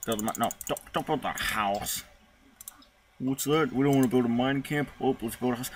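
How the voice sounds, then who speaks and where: A man's voice: mid-range voice, fairly quick, slight background noise. A young man playing a strategy game, chatting casually and joking about his own bad progress. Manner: chatty, self-mocking, hums while playing. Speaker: gamer recording a let's play. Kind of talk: casual gaming chat.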